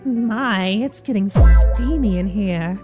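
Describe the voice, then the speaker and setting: A woman's voice: medium pitch, slow, over music. A woman doing playful, theatrical commentary on a game as a streamer, with a teasing, sassy manner. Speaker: streamer reacting to visual novels. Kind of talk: playful gaming commentary with dialogue read aloud.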